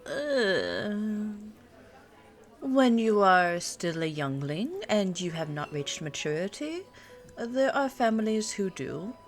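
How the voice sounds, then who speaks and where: A woman playing an ageless, teasing vampire girlfriend in an intimate ASMR roleplay, musing and pausing with little hums. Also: mid-range voice, very animated. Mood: patient, explaining. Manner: unhurried, musing, pausing with hums before answering. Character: pure-blood vampire girlfriend, ageless, looks twenty-nine, teasing and worldly. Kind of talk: ASMR audio roleplay, intimate one-sided conversation. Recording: soft music and cafe ambience behind. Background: soft background music with cafe ambience.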